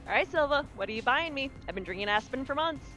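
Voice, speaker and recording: A woman's voice: sits high, lively intonation, fast, pushed and bright. A woman playing a tabletop roleplaying game, chatting casually in character. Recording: music playing underneath.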